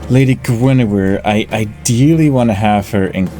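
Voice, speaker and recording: A man giving casual let's play commentary, thinking aloud in a deliberate, low-key way. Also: dark tone. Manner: deliberate, low-key, talks through tactics. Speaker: gaming YouTuber. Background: game music.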